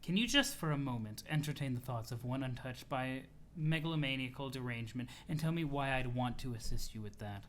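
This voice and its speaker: A man voicing a prim, composed female troll in a measured, wordy, dryly disapproving manner, reading a webcomic aloud. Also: medium pitch, fairly bright tone, slight background noise, pitched below the performer's own voice, lightly acted. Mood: cool, grave. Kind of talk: comic read-aloud of a webcomic.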